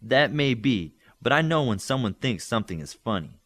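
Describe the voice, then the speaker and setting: A man voicing a witty, bossy young girl student in an audiobook, with a brisk, insistent manner. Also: mid-range voice, sing-song, fairly bright tone. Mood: teasing. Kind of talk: audiobook character acting.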